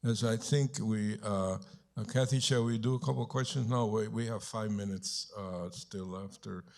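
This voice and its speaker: Man, panel moderator, hesitant, fillers, lowish pitch. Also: steady tone, normal speed, a little mellow. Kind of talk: introducing speakers.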